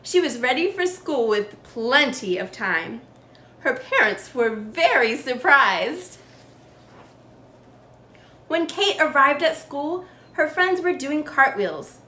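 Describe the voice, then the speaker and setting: A woman reading a children's storybook aloud in an animated, carefully enunciated way, as a storyteller for kids. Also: high voice. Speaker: children's read-aloud storyteller, show host. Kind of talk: scripted storybook narration for kids. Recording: roomy echo with faint background noise.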